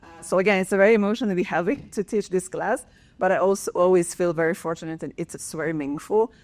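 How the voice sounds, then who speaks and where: A woman's voice: fairly low pitch, fast talker, darkish tone, soft background music. A woman in her forties with an Israeli accent, a professor speaking earnestly on a conference panel, with many fillers and restarts.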